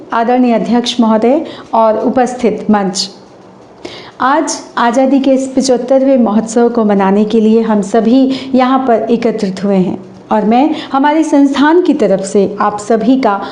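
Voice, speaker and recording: A hoarse woman hosts her channel and recites a stage anchoring script in a formal, declamatory way, slowing down for poetic couplets. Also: fairly high pitch, mellow, reverberant.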